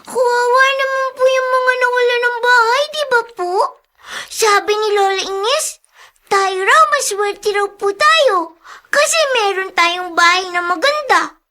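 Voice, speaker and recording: A curious young girl in a radio drama. The voice sits very high and sounds bright, and she talks politely and innocently, like a child. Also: measured pace, heavily acted.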